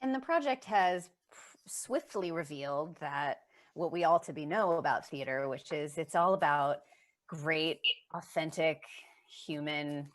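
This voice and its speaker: Low-voiced, earnest woman on a panel. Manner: earnest, emphatic. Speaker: playwright on a discussion panel. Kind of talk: reflective panel conversation.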